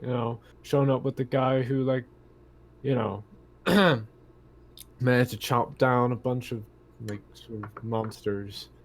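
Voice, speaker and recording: Male roleplaying player, mid-range voice, casual, halting, repetitive delivery. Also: medium pitch, steady tone. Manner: halting, repeats himself. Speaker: player in a tabletop roleplaying game. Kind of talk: casual game chat.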